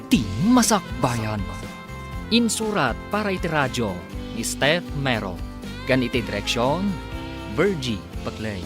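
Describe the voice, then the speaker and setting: A man narrates a radio serial with dramatic, animated intonation. His voice is mid-pitched, over prominent guitar music.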